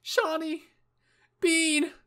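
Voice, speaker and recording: A man voicing an excitable, dramatic female military scientist in a story reading, with a theatrical, effusive manner. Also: sits high, moderate pace, pitched above the performer's own voice. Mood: wailing.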